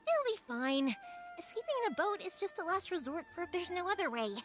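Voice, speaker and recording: High, bright-spirited young woman. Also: a little fast. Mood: reassuring. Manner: cheerful, expressive, earnest. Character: bright, kind-hearted young female fireworks maker. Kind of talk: video game character acting. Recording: faint music behind the voice.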